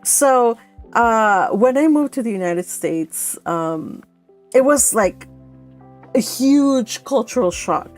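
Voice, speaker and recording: A woman's voice: a little high, lively intonation, slow, darkish tone, faint music behind. A young woman from Mexico hosting a book club, chatty and laughing often, with lots of fillers. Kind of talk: casual chat and reading aloud.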